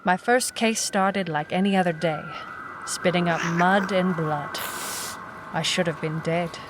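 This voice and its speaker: A woman's voice: lowish voice, unhurried, background noise, lightly acted. An actress playing a hardboiled young woman private eye, wry and businesslike, in a noir audio drama. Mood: grim narration. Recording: music bed under the voice. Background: music.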